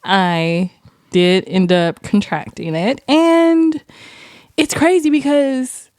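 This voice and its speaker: A young woman hosting a podcast, telling stories in an animated, laughing, profane way. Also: medium pitch, slow pace, no room sound, clean studio sound. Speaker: podcast host. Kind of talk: casual chat.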